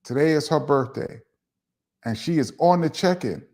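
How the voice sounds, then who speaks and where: A middle-aged man from Brooklyn with a New York accent, his voice sitting a bit high for a man. He talks in a laid-back, chatty way, with plenty of ums, as he reads out viewer questions and answers them on a live stream. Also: normal speed, darkish tone. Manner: laid-back, chatty, laughs and says um often. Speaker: host of a live chat show. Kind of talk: casual live-stream Q&A, reading viewer comments.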